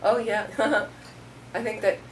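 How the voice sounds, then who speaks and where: A woman's voice: medium pitch, slow pace. A middle-aged woman giving an informal Buddhist talk, telling stories in a conversational way and acting out what people said. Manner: conversational, storytelling with acted-out quotes. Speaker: Buddhist teacher. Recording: distant mic with faint room noise.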